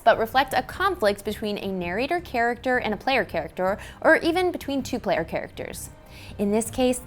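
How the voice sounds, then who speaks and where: A woman in her thirties giving scripted instructional narration as a host, with animated, clearly enunciated presenter delivery. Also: fairly high pitch. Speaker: tabletop game show host explaining rules. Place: dressed indoor studio set. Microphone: clip-on lapel mic. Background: background music.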